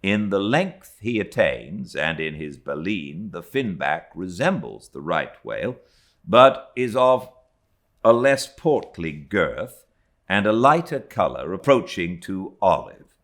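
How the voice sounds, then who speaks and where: A British man narrating a classic novel as an audiobook, with deliberate, emphatic and measured phrasing. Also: low-pitched, big pitch swings, a bit bright. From Britain. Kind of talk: expressive literary audiobook reading.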